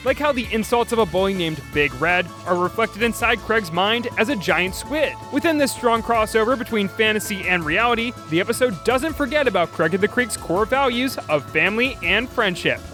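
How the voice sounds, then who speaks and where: Bright, high-pitched man narrating a review. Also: animated, normal speed, few pauses. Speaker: video host, cartoon reviewer. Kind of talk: scripted video essay narration. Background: background music.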